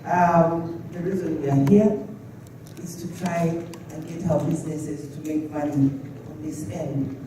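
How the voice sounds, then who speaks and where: A man's voice: on the high side, fairly even intonation, a little slow. A man moderating a trade summit, politely introducing the next speakers with frequent fillers. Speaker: event moderator. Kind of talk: conference announcement. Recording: echoey hall, distant mic, faint noise.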